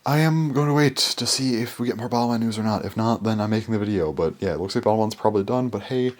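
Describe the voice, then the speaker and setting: A hoarse young man rambling through a casual video update from his bedroom, full of fillers and restarts. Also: on the low side, fast. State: hoarse, voice worn down. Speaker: toy collector vlogger. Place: small bedroom.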